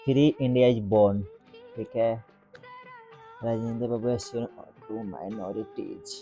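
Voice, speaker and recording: A man from Bihar gives casual, informal vlog commentary, reading English phrases aloud haltingly. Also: fairly low pitch, fairly even intonation, warm tone, soft background music. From Bihar, India. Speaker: vlogger.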